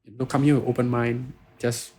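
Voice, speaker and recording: A man's voice: mid-range voice, flat delivery, fairly quick, darkish tone, husky. A man with a Singaporean English accent, answering interview questions earnestly, restarting phrases and often saying "you know". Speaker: guest lawyer.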